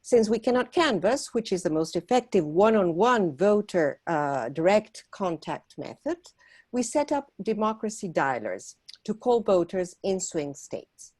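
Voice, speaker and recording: A woman from Argentina, a volunteer organizer, giving an earnest prepared talk at a meeting and pausing often on fillers. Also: mid-range voice, sing-song, a little mellow. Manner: earnest, persuasive, with frequent filler pauses.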